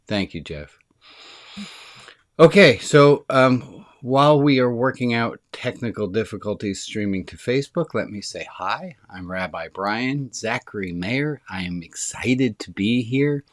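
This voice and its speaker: A man with a deep, resonant voice leads an online group as its rabbi. He speaks over a video call in a casual, chatty way. He talks fast and eagerly, at a middling pitch. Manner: eager and fast, says excitement speeds him up.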